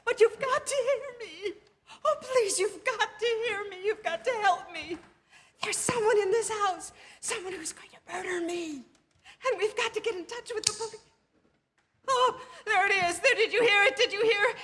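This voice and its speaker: A woman's voice: very high voice, normal speed, fairly bright tone. An actress playing a terrified, desperate woman in a suspense radio drama, breathless and stammering as she pleads for help. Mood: frantic pleading. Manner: breathless, stammering, repeating words in panic. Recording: noisy live theatre stage recording.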